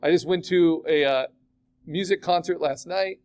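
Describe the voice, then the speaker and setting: A man teaching a digital art class, chatting casually and asking his students questions as he demos the software. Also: a little high, normal speed. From California. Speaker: art instructor.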